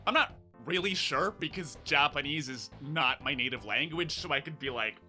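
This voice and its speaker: A man narrating his own video essay with dry sarcasm and casual asides. His voice is very bright and slightly high. Faint music plays softly underneath. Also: normal speed. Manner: sarcastic, wry and conversational.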